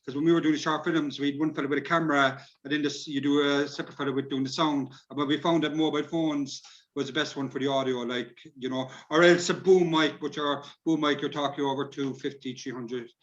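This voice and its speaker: A man's voice: fairly high pitch, fairly even intonation, a little fast. An Irish man giving casual practical advice in an online discussion, on dull lo-fi call audio. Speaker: workshop speaker advising on recording.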